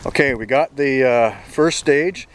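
A man's voice: medium pitch, measured pace, a little hiss or hum. A man in his forties from Canada, chatting upbeat on a geocaching vlog outdoors, filmed on a handheld camera at arm's length. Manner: chatty, upbeat, laughs often. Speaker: geocaching vlogger. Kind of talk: casual outdoor vlog commentary. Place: outdoors on a bridge and wooded trails in summer.

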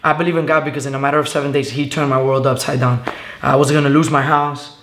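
A man's voice: mid-range voice, flat delivery. Earnest young man in an echoey room. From Venezuela. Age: young adult. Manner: earnest, halting with many fillers. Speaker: guest. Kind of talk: casual testimony interview. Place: living room, on a couch. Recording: echoey room with faint hiss.

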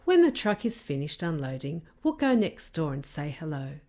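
A woman reading the part of a patient, reassuring father in a children's storybook, explaining things calmly. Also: deep voice, moderate pace.

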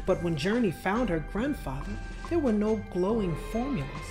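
A man reading a children's story aloud as narrator, dramatic and deliberate, drawing out key words. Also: sits high. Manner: expressive, deliberate phrasing with drawn-out words. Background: music.

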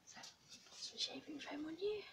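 Soft-spoken woman doing barber ASMR. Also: high-pitched, normal speed, slight background noise. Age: middle-aged. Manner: soft-spoken, slips between quiet talk and whispers; chatty, reassuring personal attention. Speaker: ASMR creator playing a barber. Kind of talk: ASMR roleplay. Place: small indoor room with plain wall.

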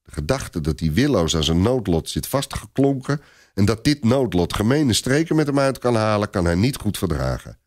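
Animated, lowish-voiced male storyteller. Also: normal speed, no echo, clean studio sound. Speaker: narrator. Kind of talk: scripted story narration.

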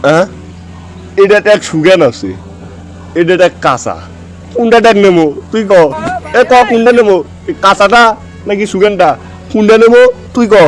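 A man's voice: sits high, normal speed, pauses often, soft background music, close to an everyday voice. A man voicing a scolding, scheming middle-aged village father in a comic cartoon, grumbling and threatening in a Bogra accent from northern Bangladesh. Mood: menacing. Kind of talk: comic cartoon dubbing in rural dialect.

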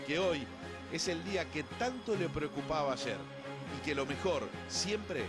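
A man's voice: a little high, animated, measured pace. An Argentine man presenting and interviewing enthusiastically as a television host. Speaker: TV host and reporter. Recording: loud music under the voice. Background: music.

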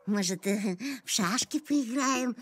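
Perky, helpful young cartoon friend: high register, measured pace, encouraging and expressive. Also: female voice. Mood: exasperated, sheepish. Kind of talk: children's cartoon voice acting.

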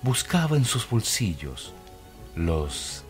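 A man narrating a scripted radio drama as a measured storyteller. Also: on the low side, big pitch swings. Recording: music behind the voice.

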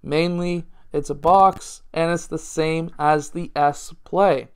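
Guy in his 30s walking thru basketball plays, casual coach talk, really clean clear voice, small room at home. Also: fairly high pitch, steady tone, slow. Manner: plain, talks through plays step by step. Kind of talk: casual instructional explanation.

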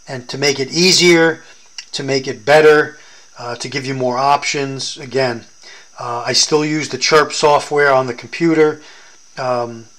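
A man's voice: mid-range voice, a bit bright, poor audio. An American man demonstrating a product in a casual hands-on tutorial, explaining informally step by step.

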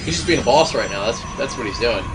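A man casting a live strategy video game match, joking between plays and building excitement at the big moments. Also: medium pitch, quick pace, noisy background. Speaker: esports caster.

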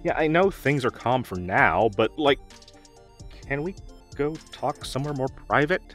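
A young man playing a dorky, nervous, protective gamer best friend in an audio roleplay, with halting, awkward delivery. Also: medium pitch, expressive, fairly bright tone, soft background music. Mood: flustered. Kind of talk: audio roleplay drama, conversational.

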